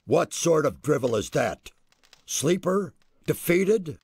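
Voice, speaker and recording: A haughty male mage from a fantasy video game. His voice is husky and swings widely in pitch. He speaks with pompous, theatrical scorn, as if scolding a fool. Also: big pitch swings, slow pace, a little mellow, no echo, exaggerated voice. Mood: angry, scornful. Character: haughty, irritable male mage guarding ancient secrets.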